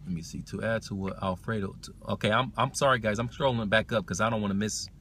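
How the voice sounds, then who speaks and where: A man hosting a language-learning livestream, chatting casually and answering comments, circling back to restate his points in a halting way. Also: fairly low pitch, a bit bright, slight background noise.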